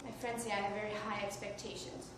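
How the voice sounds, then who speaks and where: A woman's voice: mid-range voice, flat delivery, fast. A woman who grew up in Mexico performing a wry, self-mocking comedy monologue on stage in her one-woman show. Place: theater stage, live audience. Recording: distant echoey live stage recording with noise.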